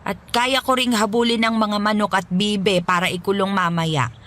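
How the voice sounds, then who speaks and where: A woman's voice: mid-range voice, steady tone, normal speed, fairly bright tone, lightly acted. A woman acting as a doting but strict old grandmother, fussing and scolding in a radio drama. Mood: coaxing.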